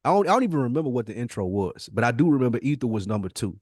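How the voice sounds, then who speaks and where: A man's voice: mid-range voice, very animated, rapid-fire, a little mellow, no room sound, clean studio sound. A man co-hosting a podcast, debating and telling stories about hip-hop in an emphatic, slangy, casual way, often repeating himself for emphasis. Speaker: podcast co-host.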